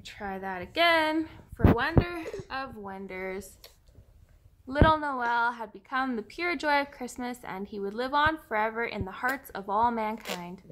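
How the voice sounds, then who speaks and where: Woman, fairly high voice, parent's storybook read-aloud, homemade recording, some noise behind. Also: animated, a little slow, a bit bright, rough recording. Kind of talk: read-aloud children's storytelling with casual asides.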